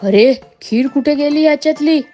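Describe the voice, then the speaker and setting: A woman's voice: a little high, animated, a little slow, a little mellow, soft background music. A woman voicing a bossy big-brother monkey who leads his friends in a children's story. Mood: alarmed. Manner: bossy, commanding.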